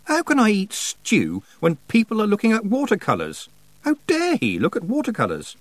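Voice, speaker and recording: Storybook lead: indignant country girl, high, very lively intonation, voiced by a male narrator. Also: normal speed, dry recording. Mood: angry, exasperated. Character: indignant, fiery, stubborn farm girl. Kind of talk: audiobook character voice.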